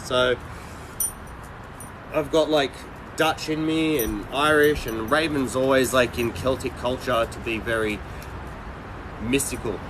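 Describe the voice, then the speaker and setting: A middle-aged man livestreaming, chatting casually with viewers in a blunt, rambling, halting way. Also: mid-range voice, fairly even intonation, noisy background. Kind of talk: casual live chat with viewers.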